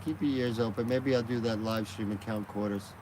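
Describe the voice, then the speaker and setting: A man's voice: fairly low pitch, fairly even intonation, brisk. Chatty man streaming from an outdoor fire. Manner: rambling, chatty, laughs easily. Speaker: live streamer. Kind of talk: casual live-stream chat. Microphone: phone on a gimbal. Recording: noisy outdoor phone stream.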